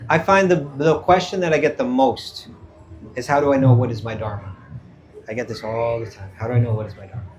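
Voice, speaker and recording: A man's voice: medium pitch, fairly quick, darkish tone. A man giving an informal scripture talk to a live audience as a spiritual teacher, conversational, checking in with listeners and acting out quoted dialogue. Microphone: event microphone.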